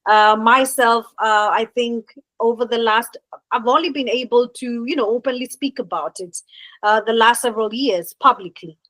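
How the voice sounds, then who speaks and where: Woman hosting a panel, bit high voice, lots of uhs, dry close sound. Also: normal speed, fairly bright tone, no echo. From Kenya. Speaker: host and moderator. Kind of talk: moderating a panel discussion.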